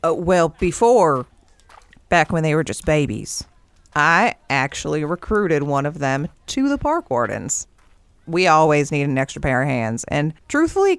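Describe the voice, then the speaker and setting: Dry-miked, folksy old camp warden. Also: female voice, deep voice, clean tone, no echo. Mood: fond, casual. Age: older. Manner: folksy, wry, teasing. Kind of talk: audio drama dialogue.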